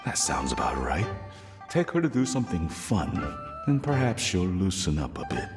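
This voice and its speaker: A man voicing a stern but softhearted middle-aged father, gruff and halting, in a video game. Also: low-pitched, sing-song. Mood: stern, softening. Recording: music behind.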